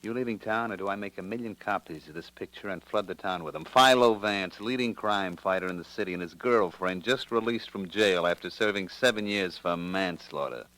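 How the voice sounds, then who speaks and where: A man's voice: low voice, brisk, hardly any pauses, fairly bright tone, rough recording. An American man playing a smug, slangy blackmailing racketeer in an old radio detective drama. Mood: threatening. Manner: slangy, taunting, hard-boiled. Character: smug male racketeering print-shop owner, a blackmailer.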